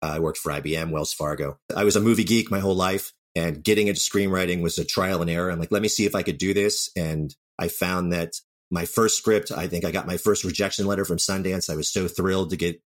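Low-voiced man chatting as interview guest. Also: steady tone, fast talker. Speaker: interview guest, screenwriter and producer. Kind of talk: casual interview chat about own career. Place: remote call from home.

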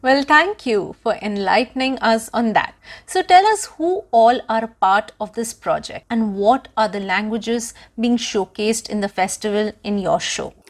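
A woman's voice: on the high side, expressive, a little slow. A woman hosting a podcast who reads a scripted introduction and asks the guest questions with enthusiasm. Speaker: podcast host.

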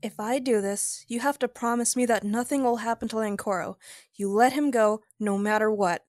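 A woman's voice: on the high side, steady tone, normal speed, darkish tone, lightly acted. A woman playing a guarded, conflicted young wife in an audio drama, loyal and defiant, speaking tersely. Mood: conflicted, pleading.

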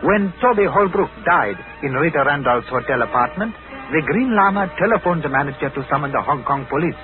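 An actor in a 1940s radio drama playing a wise Tibetan servant who narrates in a stately, formal manner. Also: male voice, on the high side, lively intonation, brisk, natural voice. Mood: solemn. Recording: tinny old radio recording, music behind.

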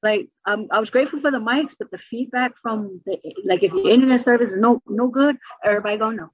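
Fast-talking New York woman comic. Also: on the high side, husky, dry recording, highs cut off. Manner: fast, animated storytelling with laughs. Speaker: stand-up comedian guest. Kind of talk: casual interview chat.

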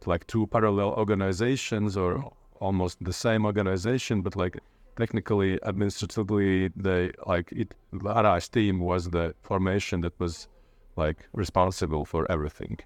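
A man's voice: deep voice, fairly even intonation, normal speed, dry recording. A man with a Latvian accent giving informal interview answers in a hesitant way, often groping for words. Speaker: interviewee, playwright and literature lecturer.

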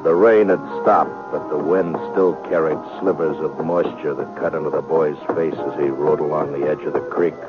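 A man's voice: a bass voice, steady tone, normal speed, few pauses, music in the background. An American man playing a grave storyteller, narrating a scripted 1950s radio western. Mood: grave, suspenseful. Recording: muffled 1950s radio recording.

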